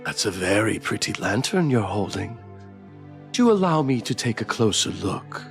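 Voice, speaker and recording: A man voicing a calm, menacing witch in a visual novel, teasing and slyly insinuating in a slow manner. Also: fairly low pitch, faint music behind. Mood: slyly curious. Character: calm, menacing, mockingly curious forest witch woman.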